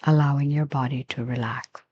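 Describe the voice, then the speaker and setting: A woman leading a guided meditation as a teacher, giving gentle, unhurried instructions with long pauses. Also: extremely low pitch, steady tone, muffled recording.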